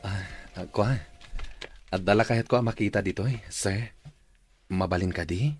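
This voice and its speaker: A man's voice: on the low side, moderate pace, slight background noise, lightly acted. A man with an Ilocano accent playing a shy, smitten young fruit seller and respectful son in a radio drama. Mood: shy, hesitant.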